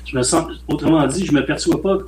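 Relaxed Québécois man near fifty. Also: a little high, brisk, background noise. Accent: Québécois French. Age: late 40s. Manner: relaxed, rambling, frequent "euh" and "mettons". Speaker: film director guest. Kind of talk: casual podcast interview.

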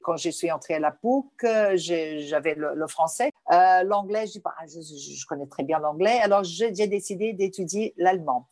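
A woman's voice: on the low side, normal speed, few pauses, a little mellow, dry recording. Woman. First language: Portuguese. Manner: searching for words, many restarts. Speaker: guest. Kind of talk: interview answers.

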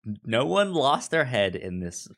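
Slow-paced man, halting casual talk. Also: medium pitch, sing-song, a bit bright. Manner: halting, repeats and restarts words often. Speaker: podcast co-host reviewing a film. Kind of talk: casual film discussion.

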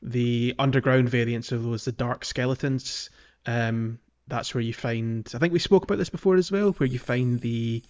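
A man co-hosting a podcast, chatting casually about a video game and filling pauses with ums. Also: medium pitch. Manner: relaxed, many ums, walks through game areas. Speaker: podcast co-host.

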